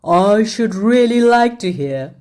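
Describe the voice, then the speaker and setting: A woman voicing a wicked king who is cold, commanding and menacing, and who speaks slowly and deliberately. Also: mid-range voice, expressive, a little mellow.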